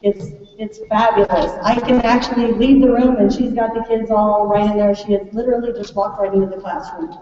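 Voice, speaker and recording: A woman's voice: mid-range voice, steady tone, fairly quick, dark tone. An American woman, a teacher, giving an informal, chatty welcome speech and prompting her listeners with questions. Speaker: third grade teacher presenting a class project. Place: large echoey room at a school event. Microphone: distant microphone. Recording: echoey hall, distant mic, muffled, faint noise.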